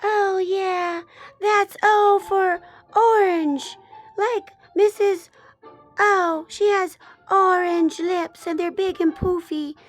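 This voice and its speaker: A tiny girl piglet from a children's story, eager and sweet. The voice is extremely high and squeaky, with slow, drawn-out words and an excitable manner. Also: no echo, faint music behind. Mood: eager, curious. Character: sweet eager little female piglet learning to oink.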